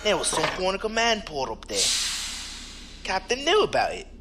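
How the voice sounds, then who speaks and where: A man's voice: sits high, wildly swooping pitch, normal speed, background noise. A man playing a wry, casual starship crewman in a sci-fi audio drama.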